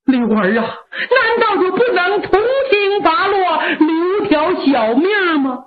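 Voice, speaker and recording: A woman storyteller voicing an elderly matriarch who pleads slowly and grievingly. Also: very high-pitched, lively intonation, pitched above the performer's own voice, full character voice. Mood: pleading. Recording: lo-fi narrow-band recording.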